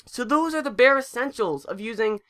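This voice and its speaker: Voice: medium pitch, expressive, a little fast, fairly bright tone. Casual, slightly stumbling tutorial narrator.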